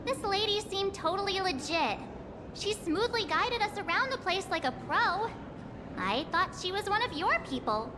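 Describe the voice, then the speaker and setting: A woman's voice: very high voice, very animated, moderate pace, big-room sound, background noise. A woman voicing a small, perky, cheeky childlike girl companion in a video game, bubbly and teasing. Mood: puzzled. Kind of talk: animated video game character dialogue.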